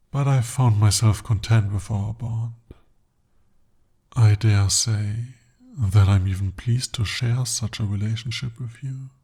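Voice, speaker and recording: A man's voice: lowish voice, warm tone, husky. A man voicing a devoted, possessive male demon in an ASMR roleplay, speaking formally and intimately. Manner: formal, intimate, slow-spoken address.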